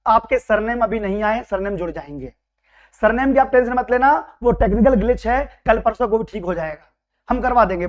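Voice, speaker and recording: A man who speaks with a Madhya Pradesh Hindi accent and has a hoarse, worn throat, giving students an excited, reassuring result update as their coaching teacher. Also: sits high, muffled recording. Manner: excited, reassuring, repeats phrases. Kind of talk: casual direct-to-camera update.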